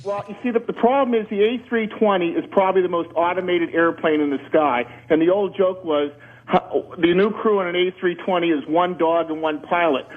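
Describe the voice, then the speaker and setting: A high-voiced man with a rough, husky edge, calling a radio show over a slightly dull line. He explains technical aviation matters plainly, sprinkling in jokes and anecdotes. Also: a little fast. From Canada. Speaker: radio show caller, former air traffic controller. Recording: muffled band-limited radio phone-in call.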